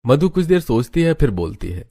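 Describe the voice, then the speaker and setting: A man's voice: medium pitch, big pitch swings, a little fast, creaky, dark tone, faint music behind. A man narrating a cartoon story in Hindi from a script.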